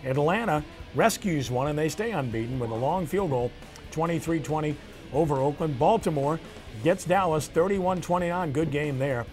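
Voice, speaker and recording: A man's voice: on the high side, expressive, normal speed, a little mellow, soft background music. A man hosting a sports show, giving commentary and results in clipped, headline-style phrases.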